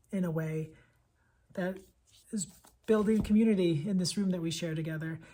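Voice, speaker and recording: Husky, enthusiastic woman recommending books. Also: on the low side, warm tone. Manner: enthusiastic, emphatic, conversational, repeats words for emphasis. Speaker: elementary school teacher presenting picture books. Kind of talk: casual vlog book recommendations.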